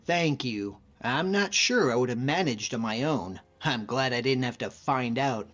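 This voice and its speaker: A man playing a friendly, modest lone-wolf hunter as a video game companion, speaking in an earnest, measured way. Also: mid-range voice, animated, fairly bright tone, lo-fi sound. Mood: warm, easygoing.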